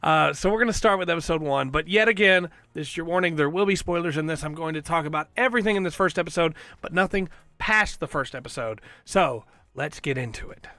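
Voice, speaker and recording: A man hosting a podcast, giving an enthusiastic, rambling review with a voice that is slightly going hoarse. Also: on the high side, fast talker, hardly any pauses, bright, forceful tone. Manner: enthusiastic, rambling with fillers and restarts. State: slightly losing his voice. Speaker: podcast host reviewing an audio drama. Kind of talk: casual solo review.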